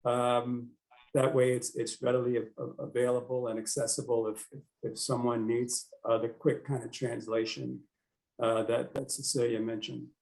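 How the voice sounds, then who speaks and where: A man's voice: mid-range voice, level tone, vocal fry, a little mellow, rough recording. Hesitant man speaking on a panel. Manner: halting, hesitant, many fillers. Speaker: newspaper editor, panelist. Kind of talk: panel discussion.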